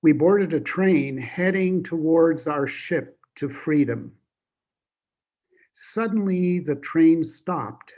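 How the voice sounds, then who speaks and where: A man's voice: fairly high pitch, warm tone. An elderly man in his eighties or older, reading a scripted personal account as a survivor. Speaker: Holocaust survivor giving testimony.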